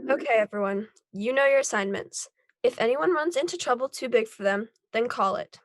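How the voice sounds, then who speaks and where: Woman as a high-voiced superhero team leader. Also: a little fast, lightly acted. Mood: commanding. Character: composed, commanding female superhero team leader. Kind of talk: table read of an amateur superhero script.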